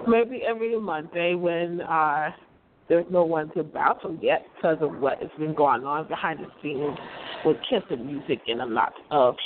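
A hoarse voice, worn down by a cold, rambles on, looping back over the same phrases and laughing between thoughts. It comes through a narrow, phone-like line. A crowd is clearly heard behind it. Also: medium pitch. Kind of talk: rambling monologue.

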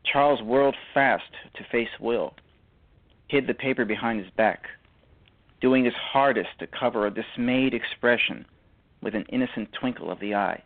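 A man reading literary narration for a radio drama, halting and often repeating words. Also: mid-range voice, phone-quality sound.

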